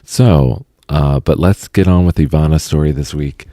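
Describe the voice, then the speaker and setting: Very deep-voiced earnest man hosting. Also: normal speed, warm tone, dry recording, studio quality. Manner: earnest, repeats words when starting questions. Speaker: podcast host. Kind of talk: podcast interview about sobriety.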